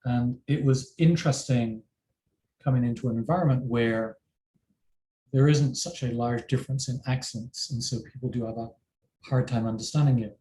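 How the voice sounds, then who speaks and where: A middle-aged man with an English accent, a parent answering interview questions in a thoughtful, measured way. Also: mid-range voice, warm tone. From England. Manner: thoughtful, measured, self-deprecating. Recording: roomy with faint ambient noise.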